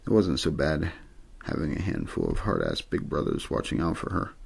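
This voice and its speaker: Male amateur narrator, ASMR story reading, deep voice, very creaky, understated delivery, pitch that dips and lifts. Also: huge pitch swings, moderate pace. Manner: untheatrical, low-key delivery with occasional stumbles. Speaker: amateur audiobook reader.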